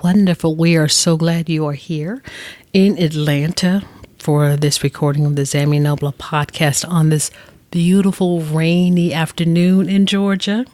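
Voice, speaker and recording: Podcast host, woman, lowish voice, polished and welcoming, dry close mic. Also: fairly even intonation, unhurried, mellow, dry recording. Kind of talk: hosting an interview podcast. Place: recording session in Atlanta.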